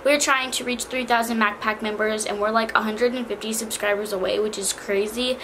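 Teen girl vlogger, slightly hoarse, higher voice, chatty; bedroom with faint fan hum. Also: fairly even intonation, a little fast, a bit bright, rough recording. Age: teens. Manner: chatty, bubbly, lots of "like". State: slightly hoarse from cheer camp. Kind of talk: casual backpack vlog. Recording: faint fan noise behind.

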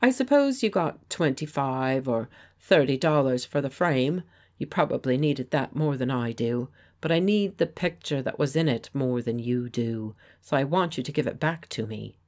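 A woman reading the part of a pleasant, confident gentleman painter who speaks with quiet authority. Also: rumbling low, expressive, moderate pace. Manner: pleasant, firm, persuasive. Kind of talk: audiobook character voice.

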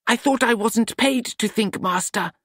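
A man's voice: high voice, measured pace, fairly bright tone, no room sound, clean studio sound, voice pushed up, full character voice. A man voicing a meek, cheerful young servant in an audiobook, deferential and earnest. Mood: meek, polite.

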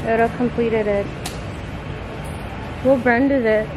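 A woman's voice: fairly high pitch, steady tone, slow pace, noisy background. A woman chatting casually in brief, informal remarks. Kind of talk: casual chat.

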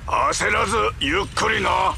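An actor voicing a big, burly, polite bear foreman in an anime game, with a gravelly voice. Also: sits high, measured pace, bright, forceful tone, a little hiss or hum, full character voice. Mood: concerned. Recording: music behind.

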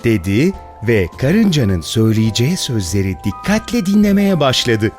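A male storyteller reads a children's fairy tale with a lively, swooping delivery. His voice is mid-pitched, and music plays clearly behind him. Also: normal speed. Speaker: storyteller, narrator.

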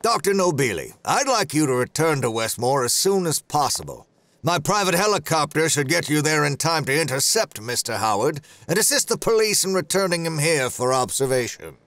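An actor playing a smooth, controlling executive of a drug company in an audio drama. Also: male voice, on the high side, sing-song, moderate pace, a bit bright, no echo. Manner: controlling, insistent. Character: smooth commanding male pharma executive. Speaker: corporate boss.

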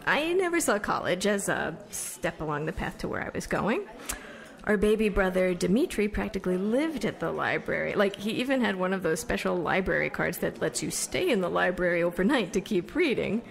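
A woman's voice: lowish voice, creaky. An actress playing a chatty, self-deprecating young American woman who wants fame, telling stories on stage in an audio drama. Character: chatty young Somerville woman set on becoming famous. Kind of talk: conversational stage storytelling monologue.

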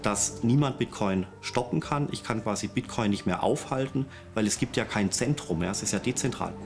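Low-voiced man explaining in an interview. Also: normal speed, soft background music. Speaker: expert interviewee.

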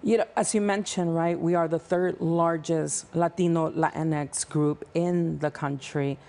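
A woman's voice: low-pitched, creaky. A woman in her thirties from El Salvador, a nonprofit founder talking passionately as a guest in a TV news studio on a lapel mic. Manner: passionate, fast-flowing, animated hand gestures. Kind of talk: TV studio interview.

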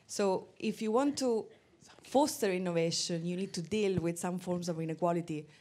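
A woman asking a long, halting question from the audience at a conference. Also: fairly low pitch, mellow. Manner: searching, halting phrasing.

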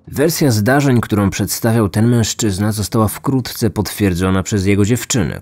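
Low-voiced man narrating true crime. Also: darkish tone. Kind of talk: scripted documentary narration.

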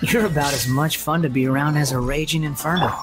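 A man's voice: a little high, steady tone, fast, natural voice. Proud, bitter young man, played by an actor. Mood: cold, contemptuous. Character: proud, bitter, sharp-tongued young male puppet. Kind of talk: video game voice acting. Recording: background music under the voice.

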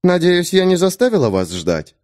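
A man voicing a calm, condescending, centuries-old female vampire in an audiobook. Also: sits high, normal speed, voice pushed up. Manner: calm, soft, lazily superior.

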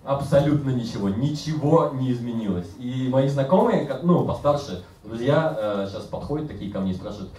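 A man in his thirties doing deadpan stand-up, mocking himself in an even, mid-range voice. His handheld mic is picked up from a distance, so the sound is roomy, with a faint hum of the bar behind him. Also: mid-range voice, brisk, hardly any pauses. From Siberia. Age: 30s. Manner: deadpan, self-mocking, acts out other voices. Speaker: stand-up comedian. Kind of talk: stand-up routine. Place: bar stage with audience. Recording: roomy live bar recording from afar.

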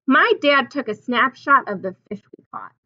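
A woman in her twenties reading a picture book aloud like a teacher, expressively, pausing to put simple questions and explanations to young children. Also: high voice, expressive, sharp tone, highs cut off. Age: 20s. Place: small classroom, indoors.

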